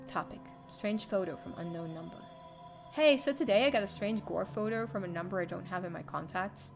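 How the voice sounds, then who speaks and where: A young woman streamer reading a visual novel aloud in the first person, expressive and theatrical. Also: medium pitch. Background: game music.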